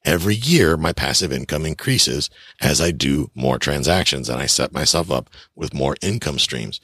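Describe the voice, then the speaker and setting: A man's voice: rumbling low, animated, normal speed, fairly bright tone, husky, no echo, clean studio sound. A man in his fifties hosting a podcast, giving blunt, chatty advice on real estate and taxes straight to his listeners. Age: 50s. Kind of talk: casual advice monologue.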